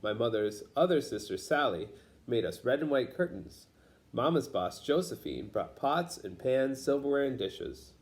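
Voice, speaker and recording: A man reads a picture book aloud for children in a medium-pitched voice. He narrates the story in simple phrases, as a read-aloud storyteller does for young listeners. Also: animated, normal speed. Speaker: storybook reader.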